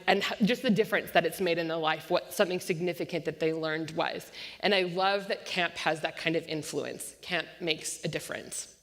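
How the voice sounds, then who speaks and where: A woman pastor preaching a sermon with an unusually loud voice, talking fast and slipping in self-deprecating jokes. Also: fairly low pitch. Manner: self-deprecating joking asides; tends to speak fast. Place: church sanctuary. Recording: long church-hall reverb.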